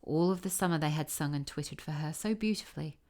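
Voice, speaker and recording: A woman reading a classic fairy tale aloud as a storybook narrator, expressive and careful. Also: deep voice, fast.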